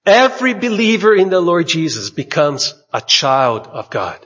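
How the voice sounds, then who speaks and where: A man's voice: sits high, sing-song, fairly bright tone. Man preaching in a hall. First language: Portuguese. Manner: measured, speaks in short phrases and pauses for the interpreter. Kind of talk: sermon with consecutive interpretation. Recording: dull recording in a large echoing hall.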